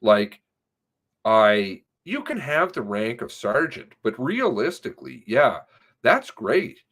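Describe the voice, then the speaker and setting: A man reads conversational testimony aloud at an unhurried pace. His pitch sits in a middle range but moves widely, and he leans on certain words to stress them. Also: very animated, fairly bright tone. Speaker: narrator reading an interview transcript aloud. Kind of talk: read-aloud of spoken interview transcript with commentary.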